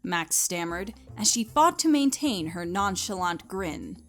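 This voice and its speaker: A woman's voice: medium pitch, animated, a little slow, fairly bright tone. Woman narrating a story over music.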